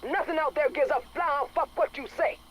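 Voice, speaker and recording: An actor playing a blunt, world-weary biker friend, pleading and resigned, in a game audio drama. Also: male voice, extremely high pitch, normal speed, lo-fi sound. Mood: urgent, blunt. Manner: blunt, pleading, rough-edged. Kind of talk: game radio drama dialogue.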